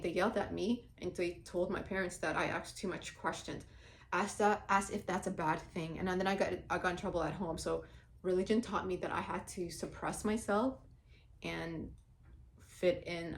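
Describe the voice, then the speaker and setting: Somali-born woman in her forties, Somali first language, lowish voice, blunt and outspoken, faint room noise. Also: fairly even intonation, moderate pace. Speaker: vlogger. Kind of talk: casual talk to camera.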